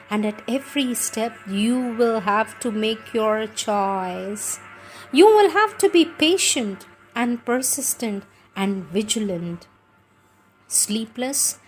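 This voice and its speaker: A woman reading scripted spiritual teachings in a measured, deliberate, emphatic manner. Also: on the high side, poor audio.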